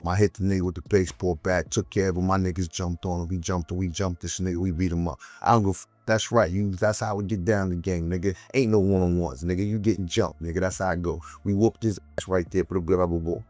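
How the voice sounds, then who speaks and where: Low-voiced man boasting about a fight. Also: fairly even intonation, fast, hardly any pauses, darkish tone, dry recording. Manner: boastful and heated, repeats fillers. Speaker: interviewee. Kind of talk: casual storytelling in an interview.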